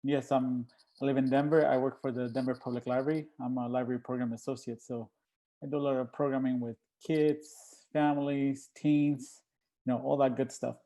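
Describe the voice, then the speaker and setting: Mellow, even-toned man. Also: mid-range voice, brisk, low-quality recording. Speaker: guest monologuist. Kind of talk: casual self-introduction.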